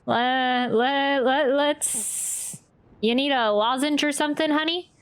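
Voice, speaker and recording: A woman's voice: sits high, takes it slowly. A woman streamer reacting casually as she plays a game, often stammering and repeating her words. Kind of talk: casual live playthrough reaction. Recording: game music behind.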